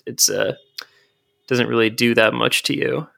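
Gravelly, just-woken young man. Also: mid-range voice, steady tone, bright, forceful tone. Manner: rambling, halting restarts, dry humor. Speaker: podcast co-host. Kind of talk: casual chat.

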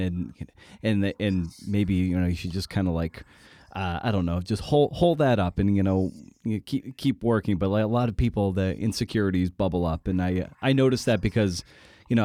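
A man hosting a podcast, asking questions in a casual, halting way as he thinks aloud. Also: lowish voice, dark tone, no room sound, clean studio sound. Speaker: podcast host, interviewer.

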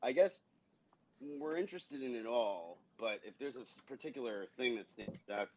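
A man's voice: medium pitch, animated, moderate pace, slight background noise, rough recording. A man hosting a podcast, moving between wry announcements and casual questions. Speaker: podcast host. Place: indoors, in person with guest.

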